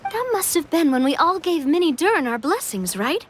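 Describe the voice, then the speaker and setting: A woman's voice: high voice, very animated, moderate pace, background noise. A woman playing a kind, thoughtful young woman in a video game, speaking in an earnest, polite way. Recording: soft game music under voice. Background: background music.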